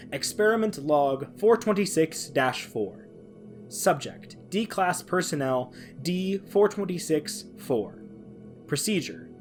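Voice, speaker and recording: Lively, higher-pitched man podcasting. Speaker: podcast host. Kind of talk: dramatic reading of a science-fiction horror log; casual podcast sign-off.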